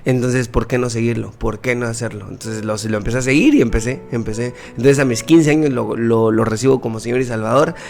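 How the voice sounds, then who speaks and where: Gravelly Guatemalan man telling his story. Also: medium pitch, brisk, few pauses, darkish tone. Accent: Guatemalan Spanish. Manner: earnest, repeats words as he talks. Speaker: guest musician. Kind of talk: casual testimony interview.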